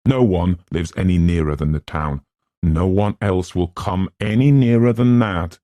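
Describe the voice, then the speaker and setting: A man's voice: sits low, a little slow, mellow, no room sound. A man voicing a cold, rigid woman housekeeper in an audiobook, reciting forbiddingly. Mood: ominous.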